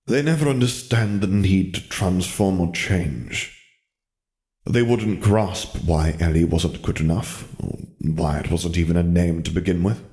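A man's voice: low-pitched, darkish tone. A man doing a cold, distant wizard. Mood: detached. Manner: slow, dismissive. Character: cold, distant older wizard living in a mind.

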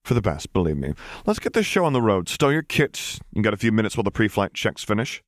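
Man reading as sardonic woman pilot, raised pitch, brisk, teasing, dry close audiobook recording. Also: big pitch swings, no echo. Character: wry, smirking, brisk young mother and pilot.

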